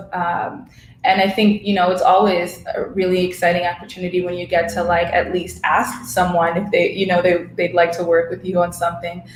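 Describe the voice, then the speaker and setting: A woman's voice: on the low side, slight background noise. A woman speaking reflectively as a co-host, searching thoughtfully for her phrasing, recorded in an echoey room. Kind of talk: reflective conversation.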